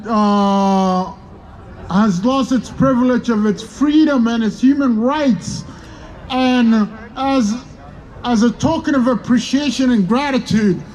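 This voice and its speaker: A man from Sudan, giving a fervent, shouted speech at an outdoor street rally. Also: very high voice, rough recording. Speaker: rally speaker. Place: outdoor downtown street protest. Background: faint crowd.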